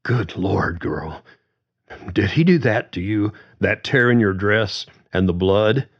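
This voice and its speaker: A man's voice: on the low side, a little slow, lo-fi sound. Man voicing a plainspoken country father. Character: middle-aged father, steady and protective.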